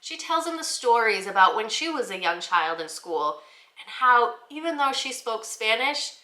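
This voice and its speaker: Bright, high-voiced woman presenting in echoey room. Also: sing-song, moderate pace. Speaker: host of a children's book review channel. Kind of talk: upbeat scripted presentation.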